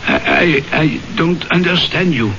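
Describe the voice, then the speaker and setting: A man's voice: on the high side, huge pitch swings, a little slow, pushed and bright, full character voice. An actor playing a nervous, superstitious village milkman who hesitates and stammers deferentially in a radio drama. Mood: nervous, sheepish. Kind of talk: 1970s radio drama acting. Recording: dull, noisy old radio broadcast.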